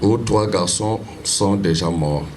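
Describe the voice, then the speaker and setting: Male funeral speaker, measured delivery, low pitch, noisy outdoor recording. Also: a little mellow. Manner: slow, measured, formal reading. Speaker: funeral master of ceremonies. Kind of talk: reading a biography at a funeral.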